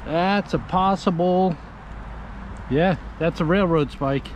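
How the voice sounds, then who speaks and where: A man's voice: sits high, slow. A man in his forties, chatty and upbeat, narrating his magnet fishing from an old railroad bridge into a wearable camera. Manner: upbeat, chatty, talks to the viewer while working. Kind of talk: casual outdoor vlog narration. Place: outdoors on an old railroad bridge over water. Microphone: wearable action camera. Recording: noisy outdoor action-cam audio.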